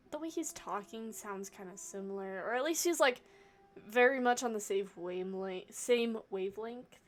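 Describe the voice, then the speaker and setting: A woman game streamer giving casual reaction commentary in an expressive, informal, giggly way. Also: on the high side.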